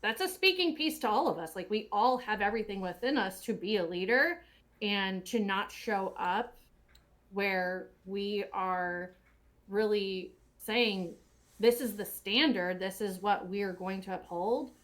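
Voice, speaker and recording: A woman's voice: medium pitch, a little slow, fairly bright tone. A woman giving casual, reflective commentary in an earnest, motivational, coach-like manner. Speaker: audio drama cast or crew member giving commentary.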